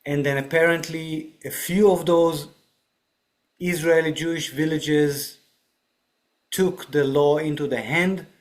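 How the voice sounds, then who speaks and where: A man talking through spiritual ideas on a podcast, reflective and explanatory, often checking in with "right?". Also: on the high side. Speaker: podcast guest. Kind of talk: spiritual discussion.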